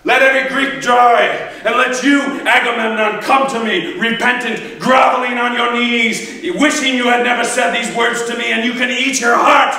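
A man acts out a proud, wronged Greek warrior on a stage. The voice is high and sharp, declaiming defiantly in fury. It rings through a very echoey space, as in live epic storytelling. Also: slow pace, full character voice. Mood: enraged oath. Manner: declamatory, shouted oaths. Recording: echoey hall, distant from microphone.

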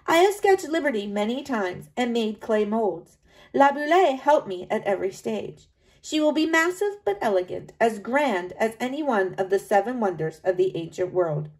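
A woman's voice: a little high, darkish tone. A woman voicing a proud, driven French male sculptor in a read-aloud storybook, in an earnest first-person reading.

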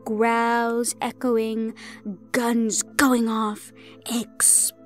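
A woman performs a chaos-loving fae villainess in an audio drama. She has a high voice and a slow, theatrical, teasing drawl, with music underneath. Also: little pitch movement. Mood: gleeful. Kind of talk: audio roleplay drama acting.

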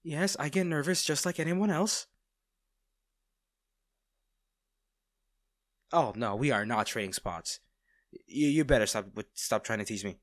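Guy acting as a lovestruck villain for audio roleplay, nervous n stammery, soft-hearted teasing, really dry close sound. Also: medium pitch, expressive, normal speed, no echo, natural voice. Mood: flustered. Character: smitten masked male villain, nervous, tender, teasing. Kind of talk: intimate audio roleplay, addressing the listener.

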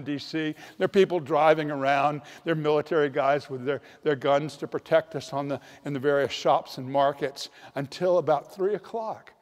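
An older man preaching a sermon with a storytelling manner, slowing down to stress key points, recorded in a large church on a handheld mic. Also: fairly high pitch. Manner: storytelling, varied emphasis, slows for key points. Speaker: priest. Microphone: handheld microphone. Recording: long church reverb.

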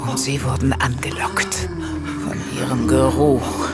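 A man's voice: medium pitch, measured pace. Male horror narrator over music. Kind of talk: scripted horror radio drama narration.

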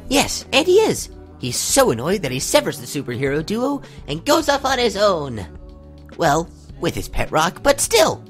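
A man's voice: high-pitched, huge pitch swings, normal speed. An actor playing an earnest, geeky young male huntsman student in an audio drama. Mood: geeky enthusiasm. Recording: music under the voice.

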